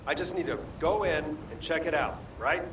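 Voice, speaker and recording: An actor playing a cocky young fire-wielding chef hero in a video game, with wry, nonchalant delivery. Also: male voice, a little high, normal speed, fairly bright tone, lightly acted. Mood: confident, flippant. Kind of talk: video game character acting. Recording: echoey, distant game audio, faint noise.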